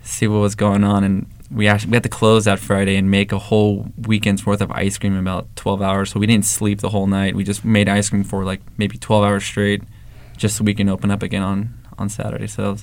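A young man with a low, gravelly voice that barely rises or falls. He talks in a relaxed interview style, full of "like" and restarts, as a shop co-owner from the Valley. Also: fairly quick, few pauses. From Los Angeles, San Fernando Valley. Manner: relaxed storytelling, many fillers and restarts. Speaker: ice cream shop co-owner. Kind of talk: casual interview answers.

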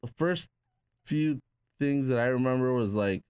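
A man's voice: mid-range voice, measured pace, darkish tone, smooth, no room sound, clean studio sound. A man born in Peru, a tattoo artist guest, casually telling rambling stories full of "like" and restarts. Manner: rambling, filler-heavy with frequent "like". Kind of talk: casual conversational storytelling.

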